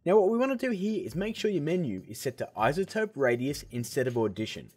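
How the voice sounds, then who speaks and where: A man hosting a software tutorial, explaining steps in a casual, upbeat way. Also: a little high, very animated, fast, husky. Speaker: video tutorial host.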